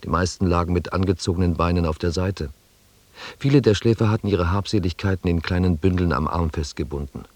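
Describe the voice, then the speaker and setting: An actor playing a sober expedition member who narrates a voyage in measured, literary German radio drama style. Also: male voice, low voice, darkish tone, no echo. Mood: sober, descriptive. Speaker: first-person narrator.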